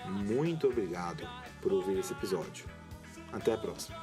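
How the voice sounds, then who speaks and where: A man's voice: low voice, animated, moderate pace, music in the background. A man with a Brazilian accent, hosting a podcast in scripted narration. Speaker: podcast host and creator.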